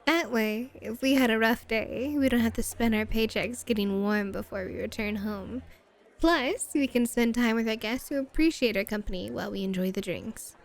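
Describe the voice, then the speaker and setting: Woman acting a flirty, dominant bunny-club hostess, slightly high. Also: moderate pace, close to an everyday voice. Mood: relaxed, gracious. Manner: teasing, self-assured, courteous to guests. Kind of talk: ASMR roleplay acting.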